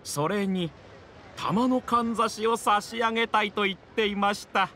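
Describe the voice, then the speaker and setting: A man's voice: high voice, moderate pace, slight background noise. An actor playing a pushy, smug middle-aged male reporter in a video game, with an oily, scornful way of talking. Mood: fawning. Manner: oily flattery turning to sneering scorn. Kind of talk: dramatic Japanese video game voice acting.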